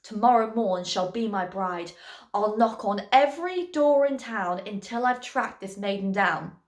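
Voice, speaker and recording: A woman's voice: medium pitch, measured pace, echoey room, voice pushed down, close to an everyday voice. A woman voicing a pompous, hot-tempered young fairy-tale prince in a melodramatic, declamatory way. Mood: resolute.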